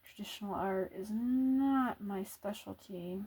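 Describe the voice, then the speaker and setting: A woman artist chatting softly in an ASMR video, thinking aloud about her work as she draws. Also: medium pitch, breathy edge, low-quality recording. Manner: thinking aloud, unhurried and self-reflective. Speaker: artist and channel host. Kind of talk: soft-spoken ASMR chat while drawing.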